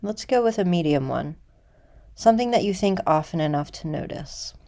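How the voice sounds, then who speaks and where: Low, mellow, creaky-voiced woman guiding meditation. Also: expressive. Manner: measured, deliberate, with pauses. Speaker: guided meditation teacher. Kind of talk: guided meditation instruction.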